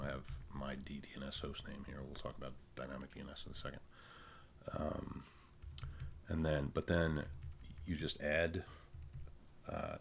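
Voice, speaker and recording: A man giving a casual, unscripted technical walkthrough, thinking aloud in an informal, halting way. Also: a bass voice, creaky, slight background noise. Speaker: presenter of a technical tutorial.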